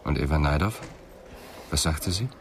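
An actor playing a sober, methodical police inspector in a radio crime drama, speaking in a measured, probing way. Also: male voice, extremely low pitch. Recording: clear background hum and vehicle noise.

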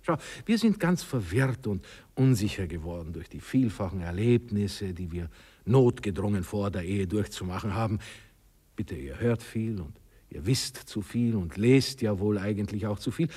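A moralizing Austrian husband in an old radio drama, with a low, slightly husky voice. He lectures like a condescending father, pompous and easily scandalized, hesitating over delicate words. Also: animated, moderate pace, dark tone. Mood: pompous, lecturing. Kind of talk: 1960s German-language radio play acting. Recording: reverberant 1960s radio drama studio recording.

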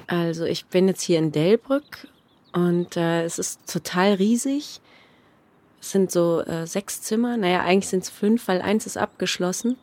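A woman's voice: fairly low pitch, unhurried, dark tone. A woman talking hesitantly and conversationally, as in a personal audio diary.

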